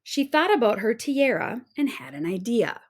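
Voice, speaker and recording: A woman narrating a children's storybook aloud in an expressive storytelling manner. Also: on the high side, moderate pace, breathy edge. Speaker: storybook reader.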